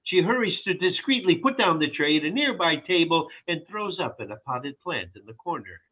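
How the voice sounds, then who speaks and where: Animated, husky man reading stage directions. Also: a little high, a little fast, narrow, tinny audio. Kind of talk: scripted narration of a screenplay table read.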